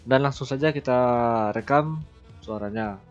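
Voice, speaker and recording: A man hosting a casual software tutorial. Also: mid-range voice, normal speed. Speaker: tutorial host. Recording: slightly dull, lo-fi voice recording. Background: background music.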